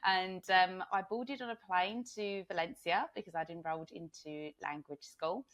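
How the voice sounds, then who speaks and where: A woman from England with a slightly low-pitched voice, audibly hoarse today. She talks in a loose, chatty way, scattering fillers through her sentences and breaking into laughs often as she tells her story. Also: moderate pace, a bit bright. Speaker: podcast guest. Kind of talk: casual interview storytelling.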